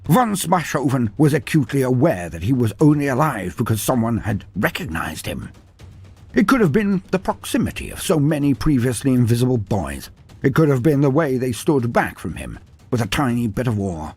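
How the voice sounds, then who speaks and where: A man's voice: mid-range voice, very animated, moderate pace, a little mellow, breathy edge, soft background music. A man narrating a scripted story in a dramatic, mock-grandiose manner.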